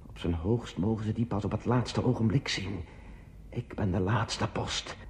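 A male actor plays a lonely border guard commander in a radio drama. His voice is on the low side, brooding and resigned. He speaks in terse, deliberate phrases, as if reporting to himself. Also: darkish tone, a little hiss or hum, natural voice. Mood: bleak, brooding. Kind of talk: introspective radio play monologue.

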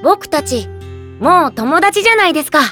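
A woman's voice: very high voice, big pitch swings, moderate pace, pushed and bright, soft background music, full character voice. A woman playing a polite, eager, friendly teenage boy adventurer in a Japanese game drama CD. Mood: warm, friendly.

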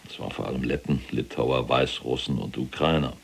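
An actor playing a proud, callous, imprisoned SS general recounting the war in a gravelly, husky, self-important military manner in a radio drama. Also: male voice, very deep voice, steady tone, a little fast, exaggerated voice. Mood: cold, boastful. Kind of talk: dramatized first-person war recollection.

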